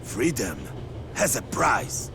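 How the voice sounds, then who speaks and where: An actor playing a grim middle-aged resistance commander in a video game cutscene, terse, commanding and fervent. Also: male voice, mid-range voice, sing-song, slow, gravelly, background noise, heavily acted. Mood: bitter, fervent. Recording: music behind the voice.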